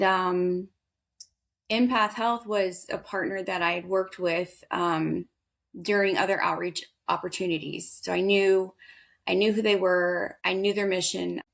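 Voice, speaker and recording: Chatty woman with a gravelly low voice. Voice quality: loud, big voice. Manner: chatty, energetic, quotes herself often. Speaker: guest, veterans outreach worker. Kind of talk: casual interview talk.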